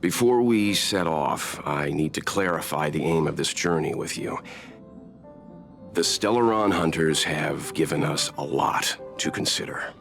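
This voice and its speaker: Very deep gravelly mentor. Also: male voice, a bit bright, exaggerated voice. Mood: serious. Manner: grave, measured, authoritative. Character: stern, composed middle-aged male mentor and explorer. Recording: game music behind the voice.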